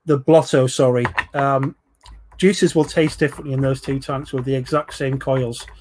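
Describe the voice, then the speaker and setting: A man's voice: medium pitch, steady tone, moderate pace, darkish tone. A man in his forties with a London accent, hosting a casual live stream, chatting and reading out viewer comments with lots of ums. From England. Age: 40s. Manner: chatty, rambling, full of ums, banters with viewers. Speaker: livestream host reviewing vape juices.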